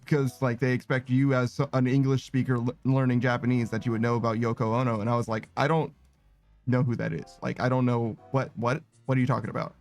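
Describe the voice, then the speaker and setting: A man's voice: medium pitch, little pitch movement, quick pace, a little mellow. A young man streaming a game, rambling casually with many restarts and fillers. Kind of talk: casual chat while gaming. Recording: faint music behind.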